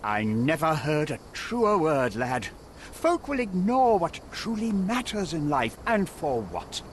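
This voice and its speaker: Brief: lecturing, bossy professor, voice swings widely. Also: male voice, on the high side, very animated, slow pace, a little hiss or hum. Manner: lecturing, pompous, commanding. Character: stern older male archaeology professor, proud and domineering. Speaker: dig site leader. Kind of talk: video game voice acting.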